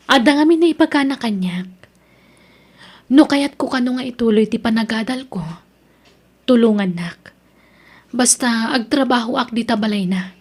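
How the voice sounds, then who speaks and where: A woman's voice: on the high side, husky. A woman with an Ilocano accent acting in an audio drama as a young student, an evasive and defiant girlfriend.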